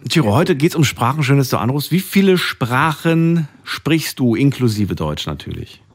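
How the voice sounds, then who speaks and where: A man's voice: medium pitch, big pitch swings, normal speed, breathy edge. A male radio host chats with a caller and asks follow-up questions in a friendly, conversational way. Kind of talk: phone-in radio show.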